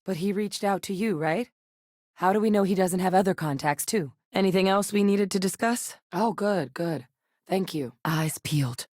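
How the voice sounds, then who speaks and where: An actress playing a composed, duty-driven soldier-scientist in a space video game, with a measured, serious, matter-of-fact delivery. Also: female voice, fairly low pitch, darkish tone, dry recording, studio quality, natural voice. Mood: guarded, wry, then composed, friendly. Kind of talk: game character dialogue lines.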